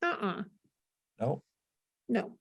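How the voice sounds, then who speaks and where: A woman's voice: medium pitch, very slow, creaky. Older American woman telling anecdotes. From United States. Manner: animated, acts out remembered dialogue. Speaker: panel guest. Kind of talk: casual anecdotal storytelling.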